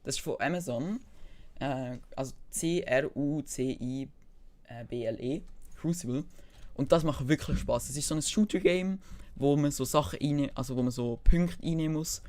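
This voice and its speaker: A young male streamer speaks with a Swiss German accent in a medium-pitched voice. His delivery is casual gaming livestream chat, peppered with English gamer slang as he talks to his viewers. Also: a little mellow. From German-speaking Switzerland. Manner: slangy, mixing English gaming terms into speech. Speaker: live streamer. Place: indoors at a computer, keyboard typing behind.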